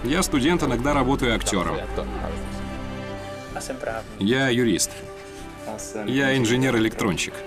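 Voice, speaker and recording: Man reading voice-over over loud music. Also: mid-range voice, expressive, a little slow, long pauses. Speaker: voice-over interpreter. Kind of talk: dubbed self-introductions, read evenly. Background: background music.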